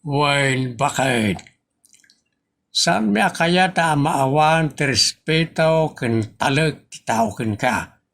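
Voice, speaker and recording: An exasperated family father acted by a man in a comedy radio play, with a northern Philippine accent. His fairly high voice is full of gravelly creak, and he scolds slowly and with emphasis. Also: lively intonation, a bit bright, exaggerated voice. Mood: scolding. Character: exasperated, admonishing husband and father. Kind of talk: Ilocano comedy radio drama acting.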